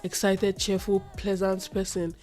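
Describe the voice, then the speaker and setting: A woman's voice: medium pitch, level tone, unhurried, darkish tone, faint music behind. A woman hosting a solo motivational podcast. She sounds slightly nasal because she is getting over a runny nose and an itchy throat, and she talks in an earnest, reflective way, repeating phrases for emphasis. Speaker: podcast host.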